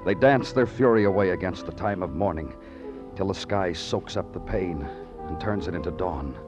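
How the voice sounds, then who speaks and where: An actor playing a grim, brooding, hard-boiled police detective in a vintage noir radio drama, speaking tersely and deliberately. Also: male voice, low-pitched, lightly acted. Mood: brooding, poetic. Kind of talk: 1950s noir radio drama acting and narration. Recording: muffled vintage radio recording. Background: loud dramatic music.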